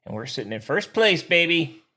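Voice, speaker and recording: A man co-hosting a sports podcast, chatting casually in an animated, slangy fan's way. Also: on the high side, moderate pace, breathy edge. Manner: animated, slangy fan talk.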